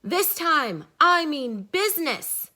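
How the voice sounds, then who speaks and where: A woman voicing a grumpy male duck who fiercely guards his quiet pond, in an emphatic storybook read-aloud. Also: sits high, sing-song, slow pace, pitched above the performer's own voice, exaggerated voice. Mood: furious, indignant. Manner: emphatic, indignant exclaiming.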